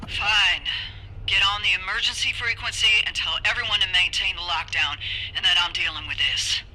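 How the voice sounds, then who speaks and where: An actress playing a tough, war-scarred mech pilot in a video game, blunt and commanding. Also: female voice, medium pitch, moderate pace, bright, forceful tone, a little hiss or hum, rough recording. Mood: stern, commanding. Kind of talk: video game cutscene acting.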